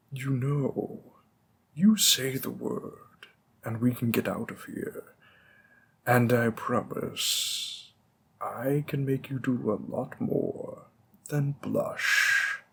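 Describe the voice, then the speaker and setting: A man's voice: medium pitch, vocal fry, bright, forceful tone, husky, heavily acted. A man playing a smug, flirtatious mob boss who teases a detective and drops veiled threats. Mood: flirty. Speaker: audio roleplay performer. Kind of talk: scripted audio roleplay.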